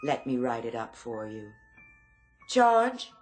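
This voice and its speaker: A low-voiced department store saleswoman in a radio drama. She is eerily polite and knowing, drawing out genteel sales patter with wide swoops of pitch. Prominent music plays behind her. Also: very animated, takes it slowly, exaggerated voice. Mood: coaxing, knowing.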